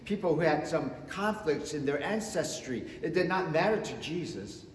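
A man in his fifties preaching a sermon from the front of a church sanctuary, slow and emphatic, swinging between loud and soft. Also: a little high, animated, slight background noise. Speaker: pastor. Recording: echoey church with long reverb.

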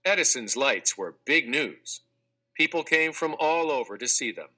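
Bright, lively male children's narrator. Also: mid-range voice, measured pace. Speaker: audiobook narrator. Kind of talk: scripted read-aloud of a children's textbook.

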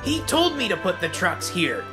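A man voicing a cheeky young twin engine in a children's story, protesting in a squeaky, hard-done-by way. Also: wildly swooping pitch, normal speed, over music, exaggerated voice. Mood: pleading protest.

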